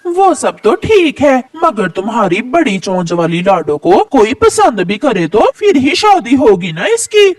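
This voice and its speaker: Scornful, scheming cartoon crow stepmother, played by a woman, with animated pitch and a sharp tongue. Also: unhurried, hardly any pauses, no echo. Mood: snappish. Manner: snappish, scornful.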